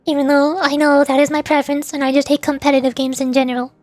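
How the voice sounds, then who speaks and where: A woman's voice: high voice, level tone, quick pace, darkish tone, no room sound. A woman chatting casually on a livestream as a VTuber game streamer, in a giggly, rambling way. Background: faint music.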